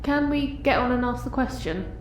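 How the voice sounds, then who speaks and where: An amateur actress playing an impatient, officious programmer in a radio comedy. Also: female voice, high-pitched, animated, moderate pace. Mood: indignant, impatient. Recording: echoey, distant, faint noise.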